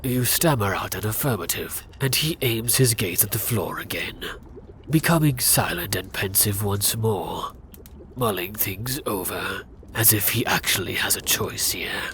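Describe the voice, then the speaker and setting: A man narrating a scripted fantasy ASMR roleplay in a hushed, often whispered voice with dramatic pauses. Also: on the low side, animated, slight background noise.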